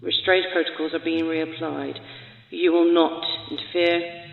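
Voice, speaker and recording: A woman voicing a cold, authoritarian facility guard giving clipped, formal orders in a dark audio drama. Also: sits low, little pitch movement, measured pace, vocal fry, echoey room, big-room sound, narrow, tinny audio. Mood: cold, commanding.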